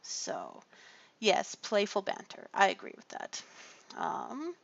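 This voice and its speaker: Gravelly, animated woman chatting while drawing. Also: medium pitch, slow, lo-fi sound. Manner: rambling and chatty, with frequent fillers and laughs. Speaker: streamer drawing colouring pages. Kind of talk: casual livestream chat while drawing.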